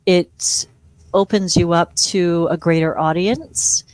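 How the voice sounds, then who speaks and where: A woman's voice: lowish voice, steady tone, takes it slowly, a little mellow, no echo, poor audio. A middle-aged woman from Hawaii, a speech-language pathologist, chatting as an interview guest in a self-deprecating way, with frequent laughs. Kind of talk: conversational interview.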